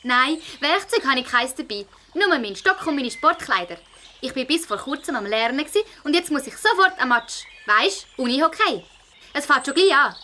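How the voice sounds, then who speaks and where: Woman playing a high, bright fox girl. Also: expressive, normal speed, slight background noise. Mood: brisk. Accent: Swiss German. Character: clever, brisk young fox girl, schoolmate. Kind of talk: children's audio drama.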